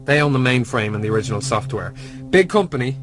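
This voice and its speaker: An actor playing a secretive, knowing underworld informant in a radio drama. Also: male voice, mid-range voice, lively intonation, quick pace, close to an everyday voice. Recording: music behind the voice.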